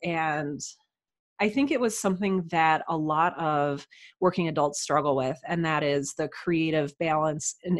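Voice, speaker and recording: A woman's voice: low voice, no room sound. A woman in her thirties casually telling her own story as a podcast guest, reflective and self-deprecating. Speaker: interview guest, writer and podcaster.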